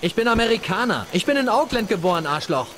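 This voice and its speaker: An actor playing an earnest, bitter young-sounding resistance fighter in a video game. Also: male voice, sits high, very animated, fast, fairly bright tone, slight background noise. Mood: indignant. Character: male Korean-American resistance fighter, earnest, bitter, defensive.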